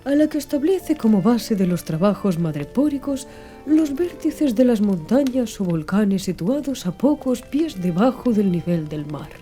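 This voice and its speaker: A woman narrating a literary audiobook in a measured, expressive storytelling manner. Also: medium pitch. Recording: music behind the voice. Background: background music.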